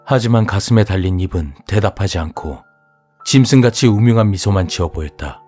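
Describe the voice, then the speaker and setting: A man reading a horror audiobook as its narrator, telling the story in a measured, even way. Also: fairly low pitch. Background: soft music.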